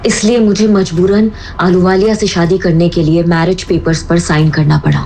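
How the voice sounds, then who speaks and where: A woman acts a grave audio drama narrator. Her voice is low and dark, with a measured, dramatic delivery. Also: steady tone, slight background noise, natural voice.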